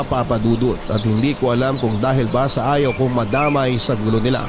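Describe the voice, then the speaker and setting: Filipino radio storyteller, mid-pitched man over music. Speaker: radio host reading a listener's letter. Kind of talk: sentimental storytelling narration. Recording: music behind the voice.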